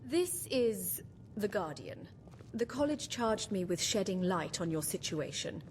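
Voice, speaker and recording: A woman's voice: medium pitch, very animated, a little slow, faint music behind. A woman voicing a formal, devoted mage in a fantasy video game, with courteous, archaic phrasing. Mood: guarded, earnest. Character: formal, devoted female mage of a secretive college. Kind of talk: scripted fantasy game dialogue.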